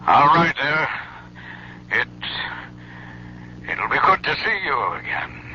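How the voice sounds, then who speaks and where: An actor playing a proud, stubborn, weary older man in an old-time radio drama, with halting, weighty delivery. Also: mid-range voice, big pitch swings, bright, forceful tone, heavily acted. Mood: wistful. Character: proud, stubborn, ailing old father, weary former lover. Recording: muffled old radio recording, clear noise.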